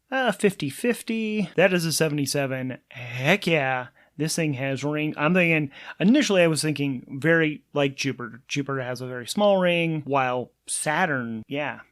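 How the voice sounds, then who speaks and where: A man narrating a solo tabletop game as its game master and player, thinking aloud in an informal, animated way. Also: on the high side.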